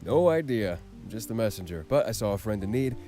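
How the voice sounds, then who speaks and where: A friendly male visual novel character acted out by a man, pitched low and relaxed in temper. He comes across as a helpful, easygoing newcomer, with music playing clearly underneath. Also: a little fast. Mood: easygoing, curious.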